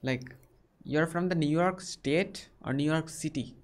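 A man's voice: on the high side, unhurried, heavy background noise. Young Indian man, casual webcam chatter who keeps asking questions. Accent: Indian English. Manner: inquisitive, asks many short questions. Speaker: video chat host. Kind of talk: casual chat with strangers. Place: small bedroom.

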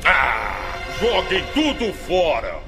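Fretful, grumbling henchman: high voice, Brazilian accent, drawn-out exclamations, music underneath. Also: huge pitch swings, gravelly, full character voice. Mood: irritated. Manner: whiny, exclamatory, dragging words. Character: grumbling, fretful male henchman, sneaky and callous. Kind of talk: dubbed children's cartoon acting. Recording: music playing behind the voice.

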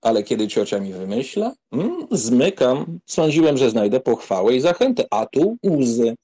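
Man playing a cocky young count, animated intonation, mellow husky voice, mocking, teasing, audiobook drama. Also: mid-range voice, normal speed. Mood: cocky, cajoling. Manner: mocking, amused, mock-solemn.

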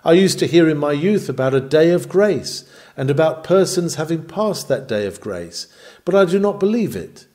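A man's voice: a little high, animated, normal speed, warm tone. A man reading an old sermon aloud in an earnest, emphatic, oratorical manner. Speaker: reader of historical sermons. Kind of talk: dramatic read-aloud sermon.

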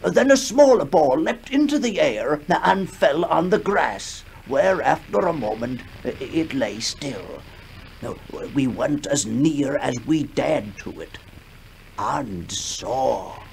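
A man acting a shaken, formal witness recounting horrors haltingly in a 1960s radio horror drama. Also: mid-range voice, animated, gravelly. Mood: grave, haunted. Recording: noisy 1960s radio recording.

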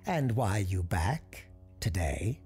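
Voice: deep voice, very animated, takes it slowly, darkish tone, faint music behind, heavily acted. A performer voicing a stern, commanding old woman of magical power in an audio storybook, curt and scolding.

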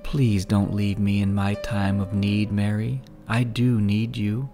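Man doing a calm gentle teacher, soft, measured, low-ish, slow, storybook reading. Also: music in the background. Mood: tender.

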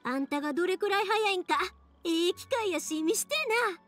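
A woman playing a cheerful, energetic big-sisterly young woman with a Kansai accent in an anime game. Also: very high voice, fairly quick, faint music behind. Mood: upbeat, teasing. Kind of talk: Japanese anime game voice acting.

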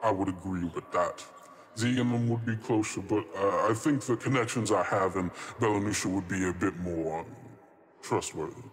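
Man playing a low, echoing, evasive trickster spirit. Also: normal speed, lightly acted. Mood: evasive, reassuring. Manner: hesitant, rambling, politely hedging. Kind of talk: improvised tabletop roleplay. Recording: long reverb on the voice.

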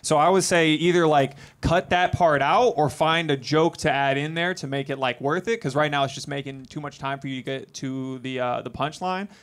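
A man's voice: a little high, moderate pace, few pauses. A young man co-hosting a comedy show, giving rambling, laid-back feedback into a handheld mic in a club. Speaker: judge and co-host. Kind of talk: casual feedback.

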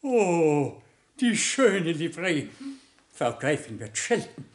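An actor playing an old, snobbish manservant with a prim, formal and pompous manner in a 1960s German radio play. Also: male voice, a little high, sing-song, takes it slowly. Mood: flustered. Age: older. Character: stiff, snobbish elderly manservant of a countess.

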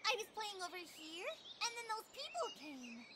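A woman's voice: very high voice, very animated, moderate pace, heavy background noise. A woman voicing a timid, sweet, innocent little animal girl in a video game, speaking hesitantly. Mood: shy.

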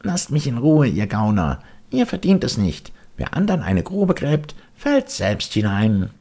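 A man's voice: medium pitch, wildly swooping pitch, unhurried, dark tone, pitched above the performer's own voice, exaggerated voice. A man voicing an earnest young wooden puppet boy in a storybook, speaking emphatically and with feeling. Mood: indignant.

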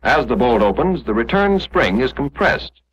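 An American man narrating a scripted 1940s instructional film in a measured, explanatory manner, on an old film soundtrack. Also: mid-range voice, sing-song, lo-fi sound, slight background noise.

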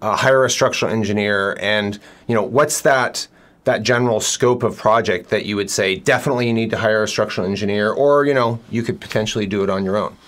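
A middle-aged man with a lowish voice hosts a relaxed interview from an office room. He talks conversationally, probing with questions and circling back with fillers and restarts. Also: a little fast. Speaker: builder and show host.

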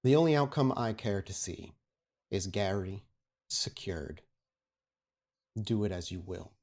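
A man playing a stern, commanding vampire prince in a roleplay game, speaking formally and with measured authority. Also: deep voice, lively intonation, darkish tone, exaggerated voice. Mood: stern, menacing. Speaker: game master. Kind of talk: tabletop roleplay acting.

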